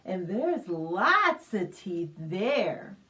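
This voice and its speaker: A woman reading a picture book aloud to young children in an expressive sing-song manner, asking the listeners questions, recorded at a distance from the microphone. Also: mid-range voice, drawn-out words. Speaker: children's library storytime reader.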